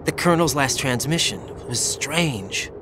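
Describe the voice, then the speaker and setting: A man's voice: medium pitch, unhurried, noisy background, lightly acted. An actor in a video game cutscene playing a troubled young male soldier, intense and questioning. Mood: uneasy.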